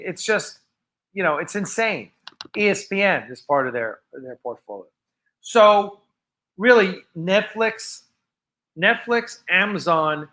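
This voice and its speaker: An American man hosting a casual live fan show, chatting off the cuff in a rambling, halting way that often trails off. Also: high-pitched, bright, forceful tone. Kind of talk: casual unscripted livestream chat.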